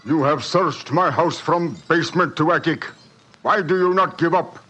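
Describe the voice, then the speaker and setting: An actor playing a stiff, defiant villainous householder who speaks formally and deliberately in an old-time radio mystery. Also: male voice, fairly high pitch, a bit bright, soft background music. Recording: muffled vintage radio recording.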